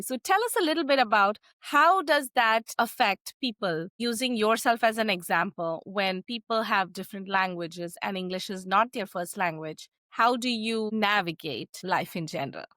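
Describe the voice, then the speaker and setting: A woman's voice: mid-range voice, pushed and bright, no echo, clean studio sound. A woman hosting an interview show, upbeat and encouraging, affirming her guest often. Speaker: podcast host.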